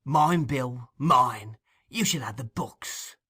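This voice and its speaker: A man's voice: mid-range voice, slow, sharp tone, slightly rough, heavily acted. A man voicing a sly old villain in an audiobook, oily, wheedling and falsely humble. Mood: pleading, wheedling.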